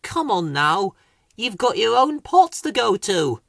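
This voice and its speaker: A busy female bird from a children's storybook, voiced by a woman, brisk and a little exasperated. Her pitch rises and falls widely. The sound is dry. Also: very animated, creaky, a bit bright, no room sound, heavily acted. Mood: kindly.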